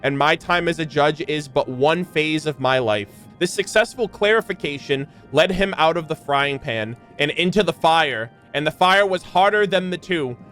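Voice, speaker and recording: High-pitched young male streamer, faint music. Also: moderate pace, few pauses. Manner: slangy, playful, expressive. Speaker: streamer reacting to game lore. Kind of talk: reading aloud with casual reaction commentary.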